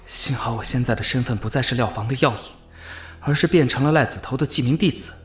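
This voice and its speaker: A man's voice: mid-range voice, fast talker, close to an everyday voice. A man playing a calculating young male disciple in inner monologue for an audio drama, with an echoey effect. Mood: scheming, hushed. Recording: echoey effect, music behind.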